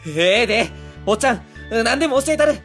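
A woman with a Kansai accent voicing a kindly, soft-hearted middle-aged man who runs a small factory, in an amateur radio drama. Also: on the high side, expressive, a little fast, faint music behind, lightly acted. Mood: moved. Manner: gentle, humble, easily moved.

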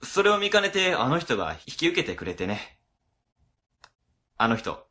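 Casting: male actor for breezy public-office manager, casual explainer, animated delivery, sharp bright tone, radio drama. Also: a little high, moderate pace. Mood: breezy, talkative. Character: chatty, easygoing male government office section boss. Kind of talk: Japanese web radio drama acting.

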